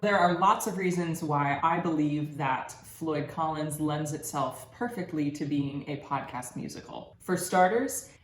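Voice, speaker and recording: A woman's voice: deep voice, a little slow, soft background music. A young adult woman giving a prepared academic presentation as a student, articulate and explanatory. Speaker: student presenting thesis research. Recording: echoey room with background noise.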